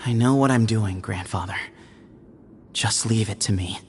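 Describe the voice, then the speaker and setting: A man's voice: lowish voice, a little slow, soft background music. A man voicing a proud young duel leader in a game, speaking in a curt, confident way. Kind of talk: game voice acting.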